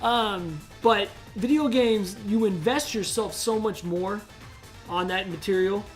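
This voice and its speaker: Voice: mid-range voice, measured pace. A podcast co-host gives casual, opinionated talk in a mid-range voice. The delivery is emphatic and slangy, with some swearing, and music plays faintly behind it.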